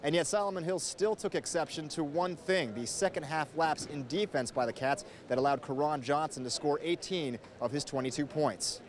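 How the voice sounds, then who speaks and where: A man's voice: fairly high pitch, normal speed, hardly any pauses. A man delivering a scripted television sports report as a field reporter.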